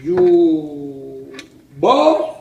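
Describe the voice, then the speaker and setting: A high-voiced man with a Cuban Spanish accent speaks broken English in a threatening, repetitive way. He is picked up from a distance in a roomy room, with faint noise behind. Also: sing-song, very slow, gravelly, mellow, lo-fi sound. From Cuba. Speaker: home seller.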